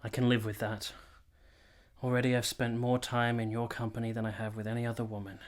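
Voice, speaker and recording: A man playing a romantic, reflective army captain in a radio play, speaking in a measured, sincere, literary way. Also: medium pitch, little pitch movement, close to an everyday voice. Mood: soft, tender. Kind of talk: radio play acting, literary first-person narration.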